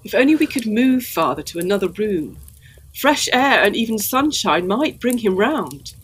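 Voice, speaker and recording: An actress playing a grave, worried young gentlewoman, formal and earnest, in a period gothic audio drama. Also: medium pitch, big pitch swings, normal speed, breathy edge, rough recording.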